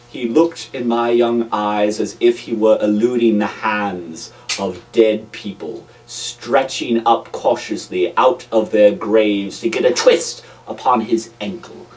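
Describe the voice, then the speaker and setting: A man narrating classic literature as a reader, in a deliberate, theatrical storytelling manner. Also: fairly low pitch, darkish tone, far from the mic, rough recording. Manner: deliberate, dramatic.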